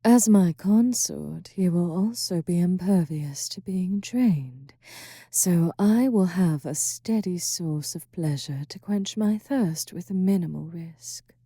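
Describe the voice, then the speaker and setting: Woman doing a slow smoky succubus, dark and breathy, all teasing whispers right up on the mic. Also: lowish voice, no room sound, clean studio sound. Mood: sultry.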